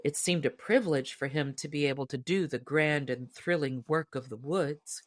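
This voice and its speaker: A woman narrating a storybook as an audiobook reader, in expressive scripted narration. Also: sits low, moderate pace, no echo, studio quality.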